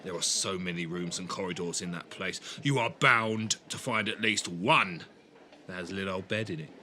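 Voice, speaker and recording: A man's voice: deep voice, normal speed, pushed and bright, a little hiss or hum. A man playing an eager, kindly young companion, chatty and a little awkward. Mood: encouraging. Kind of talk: fan audio drama acting.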